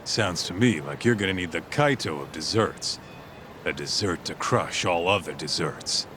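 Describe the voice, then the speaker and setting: A man's voice: low-pitched, animated, moderate pace, background noise. An actor playing a brash, laid-back tough-guy detective in a video game, talking bluntly and teasingly. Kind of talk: video game cutscene dialogue.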